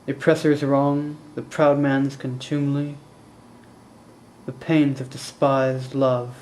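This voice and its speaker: A young man playing an anguished, brooding prince in mourning in a slow theatrical Shakespearean monologue, with deliberate, dramatic pauses. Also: medium pitch, level tone, darkish tone, close to an everyday voice. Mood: weary brooding. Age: young adult. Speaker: student actor performing monologues. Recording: roomy echo, distant mic, faint noise.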